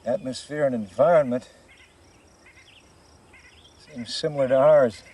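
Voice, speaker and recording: An actor playing a weary, dutiful middle-aged military pilot with clipped, resigned speech in a science fiction TV drama. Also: male voice, medium pitch, measured pace, dark tone, natural voice. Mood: measured, wary.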